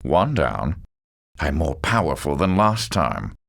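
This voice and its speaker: An extremely deep, creaky villain in a video game, cold and arrogant. He speaks slowly and deliberately, with smug, mocking menace and theatrical swings in intonation. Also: sing-song, fairly bright tone, exaggerated voice. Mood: menacing. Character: cold, arrogant male villain, superhuman schemer with sinister calm.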